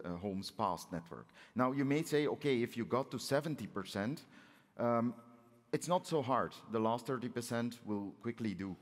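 A man delivers an opening speech from the stage of a large auditorium. His voice sits fairly low and carries the long reverb of the hall. He phrases things deliberately, explaining like a prepared presenter. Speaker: council president giving opening keynote. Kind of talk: prepared conference speech with slides.

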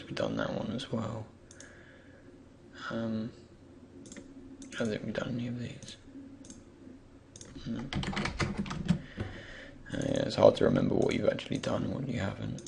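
A man with a croaky, just-woken voice gives an unscripted software tutorial, hesitantly thinking aloud as he goes. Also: on the low side. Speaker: tutorial presenter. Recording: faint background noise, keyboard and mouse clicks.

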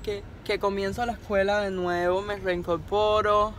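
A woman's voice: mid-range voice, level tone, normal speed. A young woman from Cuba with a Cuban Spanish accent, chatting casually to her phone camera while driving, rambling and upbeat. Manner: rambling, upbeat and encouraging, laughs at herself. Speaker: vlogger. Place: inside a car, parked then driving at dusk. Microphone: phone camera held at arm's length. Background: faint car and road noise.

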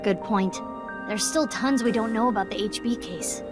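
A woman's voice: on the high side, normal speed, close to an everyday voice. A woman voicing an earnest young detective. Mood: inquisitive. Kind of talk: video game voice acting. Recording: game audio with background music.

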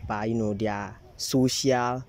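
A man's voice: lowish voice, fairly even intonation, slow, a little mellow. A young male first-year student from Ghana answering interview questions outdoors on campus, easygoing and searching for words. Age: young adult. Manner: easygoing, hesitant, many "you know" fillers. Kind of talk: casual street interview answers.

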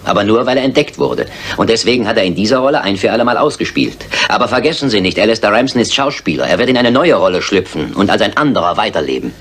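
An actor playing a shrewd, composed detective in a German radio crime drama, speaking precisely and with authority. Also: male voice, fairly low pitch, big pitch swings, fast, few pauses, fairly bright tone, husky, full character voice. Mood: grave, certain. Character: shrewd, composed male detective, coolly certain. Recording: older radio play with background noise.

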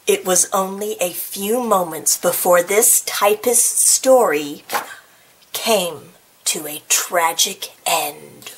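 A woman reading a children's picture book aloud in an expressive, playful way, with casual, chatty asides. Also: lowish voice, takes it slowly, husky. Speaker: storybook reader.

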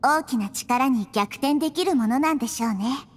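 A woman voicing a gentle, wise, child-sized goddess in an anime-style game, speaking in a calm, measured and reflective manner. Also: sits high. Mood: wistful. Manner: measured, reflective, softly feminine speech.

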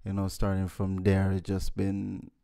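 A man's voice: sits low, monotone, unhurried, gravelly, warm tone, clear, resonant tone. A young man from Jamaica with a Jamaican accent, chatting casually as a guest musician on a podcast, peppering his talk with "you know". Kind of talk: casual interview. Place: living room with mirrored wall decor. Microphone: studio mic on boom arm.

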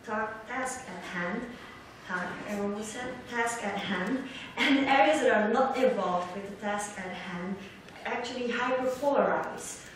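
A middle-aged woman giving an academic conference lecture, explaining haltingly as she searches for words and repeats herself. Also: medium pitch. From Belgium. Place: large lecture hall, indoors, at a lectern. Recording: echoey hall from far off, background noise.

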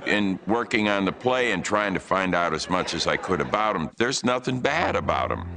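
Man, American accent, low creaky voice, effusive interview talk, loud music. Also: lively intonation, moderate pace, a crowd in the background. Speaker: interviewee from a stage play.

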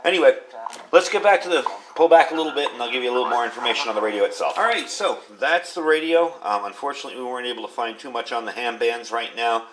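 A man with a mid-pitched voice talks through a product in an informal, unscripted way, like a hands-on reviewer. He is recorded indoors at a workbench, with faint but noticeable noise behind his voice. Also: quick pace, fairly bright tone, poor audio. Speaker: radio reviewer. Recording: clear background noise, room ambience.

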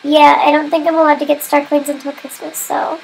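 A woman's voice: high voice, flat delivery, fairly quick, gravelly, a little hiss or hum, poor audio. A young woman giving casual, giggly commentary over her gameplay, her nose stuffed up as she comes down with a cold. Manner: chatty, giggly, self-mocking. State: stuffy nose, getting sick. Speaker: gamer.

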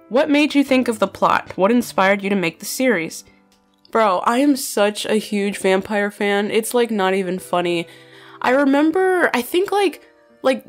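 A young woman chatting casually while answering fan questions, filling her talk with "like" and "bro", her voice slightly nasal. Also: a little high. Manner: chatty, many fillers like "like" and "bro". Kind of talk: casual chat.

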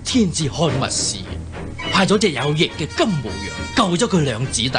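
Radio drama villain: aged, unctuous usurper king, Hong Kong Cantonese, highish animated voice, sighing, music behind. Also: moderate pace. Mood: solemn, persuasive. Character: old scheming usurper king feigning weary remorse.

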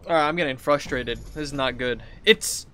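A man's voice: mid-range voice, moderate pace, bright, forceful tone. A man in his thirties giving casual, playful gameplay commentary and getting easily exasperated. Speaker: gaming streamer. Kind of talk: casual gameplay commentary with exaggerated read-outs. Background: game music.

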